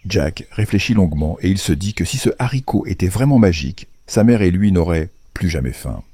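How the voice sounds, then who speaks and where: A man narrating a scripted children's fairy tale in a playful, wry, measured storytelling manner. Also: low voice, expressive, warm tone, slightly rough, dry recording, studio quality.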